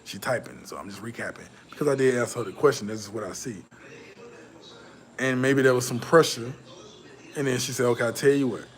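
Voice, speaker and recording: A man holding forth in a loose vlog monologue, speaking in a mid-pitched voice. He talks bluntly with cursing and lots of "you know," swinging from loud, pushed emphasis to low, muttered asides. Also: a little fast, long pauses. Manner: blunt, profane, repetitive fillers. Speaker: vlogger. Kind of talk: casual commentary to camera.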